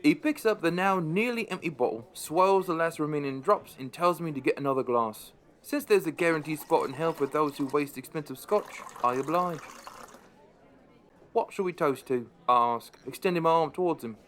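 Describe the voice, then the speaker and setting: A man's voice: on the high side, normal speed, a bit bright, lightly acted. A man playing a middle-aged pub landlord who narrates a radio play in a dry, understated, wry way. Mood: wry, companionable.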